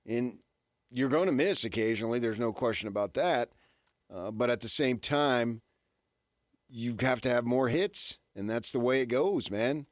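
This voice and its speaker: A man hosting sports talk radio, casually musing in halting, repeated phrases for emphasis. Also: mid-range voice, no echo, studio quality.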